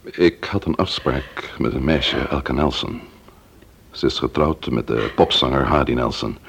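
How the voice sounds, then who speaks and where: An actor playing a sober, probing investigator in a Dutch radio play, with a measured, formal way of questioning. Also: male voice, extremely low pitch, creaky, a bit bright, full character voice. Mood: sober, apologetic. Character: sober, probing male investigator, breaking grim news.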